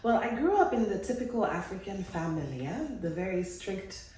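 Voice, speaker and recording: A woman from the Democratic Republic of Congo, a guest on a talk show, calmly telling her personal story in a measured way. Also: heavy reverb, far from the mic, a little hiss or hum, low-quality recording. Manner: calm, measured, speaks of hard memories in a low steady voice.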